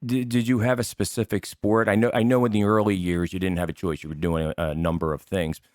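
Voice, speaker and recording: An American man hosting a casual interview, asking rambling questions in a halting, searching way. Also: sits low, little pitch movement, darkish tone, dry recording, clean studio sound. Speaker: show host, interviewer.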